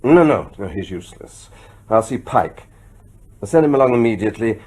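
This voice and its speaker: A newly elected British prime minister with a husky, fairly low voice. He is wry and combative, talking with brisk authority and very mobile intonation. It is radio drama acting. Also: expressive, darkish tone. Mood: brisk, commanding.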